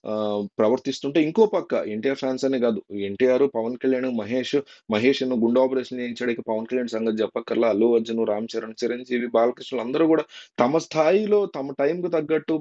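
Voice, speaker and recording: A man's voice: medium pitch, quick pace, mellow, lo-fi sound. A man giving informal, earnest and opinionated spoken news commentary for a video.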